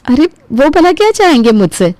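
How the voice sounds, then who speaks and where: A woman's voice: sits high, very animated, moderate pace, darkish tone, dry recording, pitched above the performer's own voice. A woman voicing a good-hearted, trusting mother and elder sister-in-law in an affectionate, effusive family cartoon story. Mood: humble, moved.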